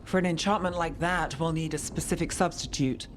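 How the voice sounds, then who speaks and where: Magic expert played by a woman for a game: low register, explanatory, precise, faint background noise. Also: fairly quick, natural voice. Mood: serious. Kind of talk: dramatic game cutscene acting. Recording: faint ambient noise behind the voice.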